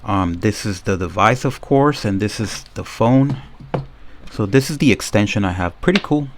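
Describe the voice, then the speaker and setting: A man's voice: on the low side, lively intonation, normal speed, a little hiss or hum. A man giving a casual, unscripted hands-on demonstration as a product reviewer, narrating each step and often repeating himself.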